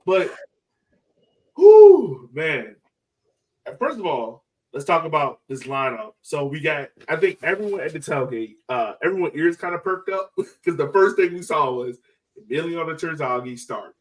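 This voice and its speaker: A man hosting a casual sports fan podcast, talking in an animated, slangy, exclaiming way. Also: fairly high pitch, unhurried, distant mic, low-quality recording. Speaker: podcast host.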